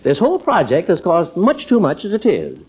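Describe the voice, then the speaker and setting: Grumbly american doctor dad in an old radio comedy, sarcastic, very up and down, dull muffled sound. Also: male voice, sits high, wildly swooping pitch, fairly quick, darkish tone, slightly rough, heavily acted. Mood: indignant. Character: exasperated, wry doctor father, grudgingly giving in. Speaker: radio actor. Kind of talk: 1950s radio comedy acting.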